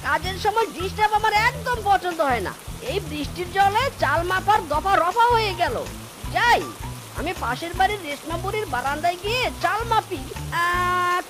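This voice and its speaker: A man voicing a sly, boastful young fox thief in a cartoon, talking cheekily to himself. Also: very high voice. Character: sly thieving young fox trickster, male. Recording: cartoon voice over loud music.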